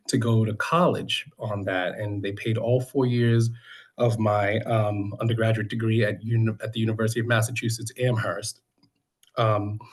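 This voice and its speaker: A middle-aged American man from Boston, speaking informally and haltingly as a council candidate introducing himself to a community meeting. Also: fairly low pitch. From Boston, United States.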